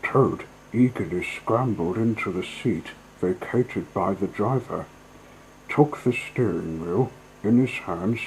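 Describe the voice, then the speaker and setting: A man reads storybook narration in a fairly low voice. He takes it very slowly, as a storyteller, with faint background noise in the recording. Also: a little mellow, rough recording.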